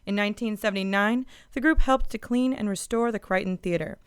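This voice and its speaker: A woman's voice: medium pitch, fairly quick, smooth, no echo, clean studio sound. A woman reading scripted narration as a sponsor announcer.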